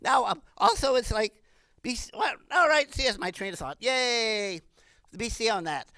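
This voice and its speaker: A woman in her late sixties delivers rambling stand-up comedy. Her voice is bright and forceful, with very lively swings in pitch, and the sound is dry and close with little room echo. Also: moderate pace. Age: 60s. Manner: animated, rambling.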